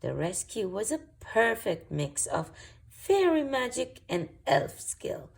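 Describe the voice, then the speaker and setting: Woman as elf father, storybook, sensible, proud, easily flustered, declamatory, animated pitch, husky. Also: slow pace, heavily acted.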